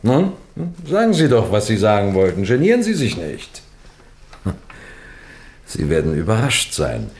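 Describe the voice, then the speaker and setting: A man's voice: fairly low pitch, huge pitch swings, a little slow, long pauses, a little mellow, full character voice. A man playing a wily, composed police detective in an old-fashioned radio crime play, courteous and teasing in a theatrical way. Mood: calm, taunting. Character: wily, composed male police detective telling his own case. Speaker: narrator and lead actor.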